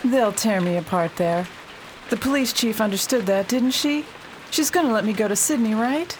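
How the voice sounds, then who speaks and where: Actress as a high-voiced, brash good-time woman. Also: moderate pace, natural voice, poor audio. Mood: wry, uneasy. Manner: slangy, streetwise, brash. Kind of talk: period radio drama acting. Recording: faint rain-like background noise.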